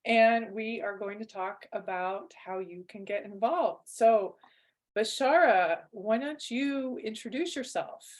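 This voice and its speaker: A woman's voice: on the high side, takes it slowly. A woman speaking as an interview host, introducing herself and her guest in a conversational way.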